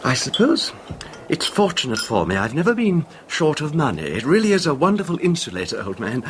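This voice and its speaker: An actor playing a wealthy, nervous, middle-aged recluse husband in a hesitant, genteel 1970s radio drama style. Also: male voice, on the high side, huge pitch swings, a little fast. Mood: detached, smug. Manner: hesitant, genteel, formal phrasing. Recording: noisy old radio recording.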